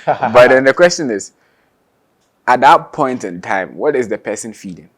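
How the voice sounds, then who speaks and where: A man's voice: medium pitch, lively intonation, moderate pace. A young man, a singer and guitarist, chatting casually as an interview guest, laughing often and restarting his sentences.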